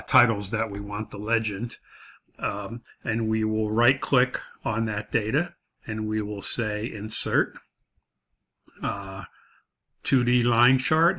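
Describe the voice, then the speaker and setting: A man's voice: fairly low pitch, a bit bright. A man giving an informal tutorial as an instructor, explaining step by step and repeating his points. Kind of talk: informal tutorial walkthrough of a spreadsheet.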